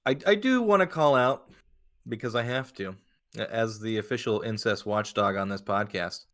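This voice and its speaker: A man's voice: lowish voice, moderate pace, a bit bright. A man co-hosting a podcast in a joking, conversational, casual way. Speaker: podcast co-host.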